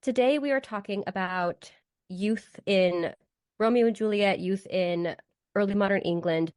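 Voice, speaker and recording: A woman co-hosting a podcast with a congested voice from a cold, chatting and explaining in a relaxed way. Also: medium pitch, unhurried, smooth, dry recording, clean studio sound. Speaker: podcast co-host. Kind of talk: casual podcast chat.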